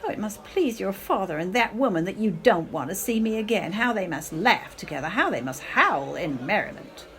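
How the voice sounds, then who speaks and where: Woman acting a theatrical, self-pitying mother, swooping pitch. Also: animated, normal speed, hardly any pauses, fairly bright tone, faint music behind. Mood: hurt, accusing. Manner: theatrical, grandiose, martyred, with dramatic hesitations. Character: bitter, self-pitying, drunken middle-aged divorced mother. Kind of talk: amateur radio play acting.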